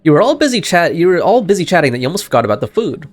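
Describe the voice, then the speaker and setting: A woman's voice: on the low side, sing-song, rapid-fire, faint music behind. A woman giving casual, slangy video game playthrough commentary, joking and reacting as she goes. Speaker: game streamer.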